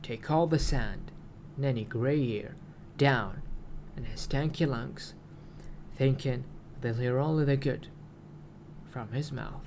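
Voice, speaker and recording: Guy reading his poetic story real slow and solemn, creaky voice, bit of noise behind him. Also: medium pitch, darkish tone. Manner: solemn, deliberate, broken phrasing with long pauses. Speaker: writer reading own story.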